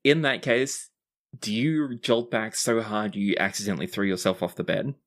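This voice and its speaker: A man's voice: lowish voice, steady tone, normal speed, fairly bright tone. A man acting as game master, narrating a tabletop roleplaying game in a casual, conversational way.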